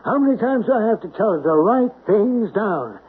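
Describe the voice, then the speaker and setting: An actor playing an anxious, guilt-ridden husband, hesitant and easily agitated, in old-time radio drama style. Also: male voice, high voice, very animated, normal speed, breathy edge, full character voice. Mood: flustered irritation. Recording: tinny, band-limited old radio recording.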